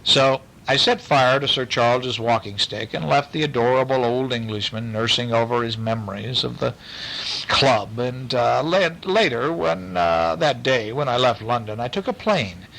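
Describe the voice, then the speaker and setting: A man's voice: mid-range voice, moderate pace, creaky, poor audio. A man reading a bedtime story aloud expressively, stopping to chat with and question a young listener. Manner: animated questioning, explains words to listener.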